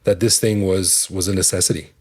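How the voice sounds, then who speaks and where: A man with a low, husky voice co-hosts a podcast. He chats casually, cracks jokes and laughs easily. The tone is loose and conversational. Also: little pitch movement, brisk, darkish tone. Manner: chatty, joking, laughs often. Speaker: podcast co-host.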